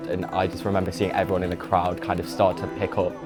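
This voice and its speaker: A man with a very deep, flat voice recalling events calmly in a documentary interview. Music plays loudly beneath his words. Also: quick pace. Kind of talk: reflective interview.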